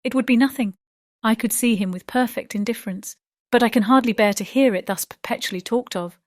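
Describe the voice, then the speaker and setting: Audiobook drama role: anxious young gentlewoman played by a woman, earnest, lightly high register, no room sound. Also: fairly quick, no echo, clean studio sound, lightly acted. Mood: troubled. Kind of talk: dramatized audiobook character acting.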